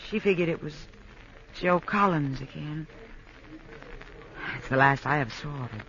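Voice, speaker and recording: A woman's voice: low-pitched, normal speed, a bit bright. An American actress playing a weary, guarded young woman on the run in a 1950s radio drama. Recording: muffled, faintly noisy 1950s radio recording.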